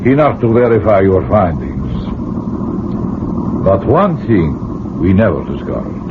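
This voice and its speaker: A gallant old archaeologist in a 1970s radio play. He speaks slowly in formal, courtly phrases with a lowish, swooping voice. The sound is a noisy, muffled old broadcast. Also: big pitch swings. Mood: jovial. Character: genial older archaeologist, gallant old family friend and godfather. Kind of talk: 1970s radio drama acting.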